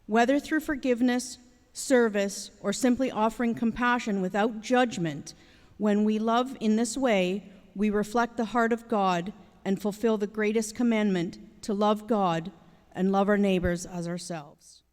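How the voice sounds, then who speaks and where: A woman reading a devotional reflection in a measured, steady way. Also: mid-range voice. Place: church sanctuary. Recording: long hall reverb in a church.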